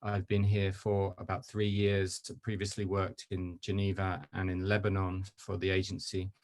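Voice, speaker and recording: Low, flat-voiced man, matter-of-fact. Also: normal speed. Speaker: refugee agency official. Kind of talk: brief self-introduction.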